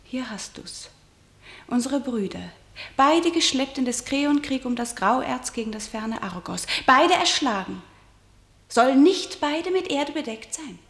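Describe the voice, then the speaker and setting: A defiant young princess in a classical verse radio play, fierce and unbending, sliding into hushed whispers. Her voice sits fairly high. The studio gives it a roomy echo. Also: heavily acted. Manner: intense, shifts to hushed whispers. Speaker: lead actor in a radio play.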